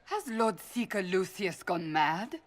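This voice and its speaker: Serious, resolute warrior, mid-pitched. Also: female voice, big pitch swings, takes it slowly. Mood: concerned. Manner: formal, serious. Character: resolute, earnest female warrior and seeker. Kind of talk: fantasy game cutscene dialogue.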